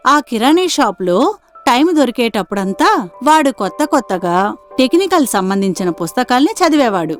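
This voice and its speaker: A woman's voice: a little high, very animated, moderate pace, faint music behind. A woman narrating a scripted moral story as its storyteller.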